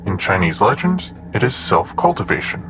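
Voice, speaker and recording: An actor playing a formal, precise, unflappable android assistant in an audio drama, reporting in a measured manner. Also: male voice, fairly low pitch, sing-song, music in the background. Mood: matter-of-fact. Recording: clear background noise with typing.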